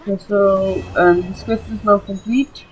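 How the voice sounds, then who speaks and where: A woman's voice: mid-range voice, fairly even intonation, unhurried, a little hiss or hum, low-quality recording. A woman giving casual, chatty let's play commentary on a video game, leaning on little verbal tags. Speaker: gaming video host. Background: game music.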